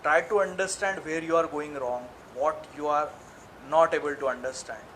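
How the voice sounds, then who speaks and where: A man's voice: fairly high pitch, fairly even intonation, normal speed, a bit bright. Earnest Indian man tutoring, noisy stream. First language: Marathi. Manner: earnest, repetitive advice with "ki" fillers. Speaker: IELTS tutor. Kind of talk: live seminar talk. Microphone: live stream audio.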